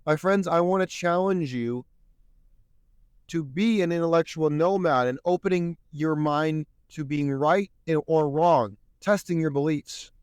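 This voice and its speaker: A man's voice: fairly high pitch, unhurried, smooth, no echo, studio quality. A man hosting a solo podcast, speaking earnestly and a bit preachily while he is losing his voice. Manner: earnest, preachy, repeats "my friends". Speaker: podcast host.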